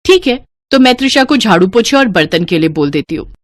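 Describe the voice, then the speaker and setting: A woman's voice: fairly high pitch, expressive, a little fast, no echo, close to an everyday voice. A woman voicing a chatty, bossy elder sister-in-law in a cartoon family story, with a breezy, familiar manner. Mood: matter-of-fact. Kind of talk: Hindi cartoon story dialogue.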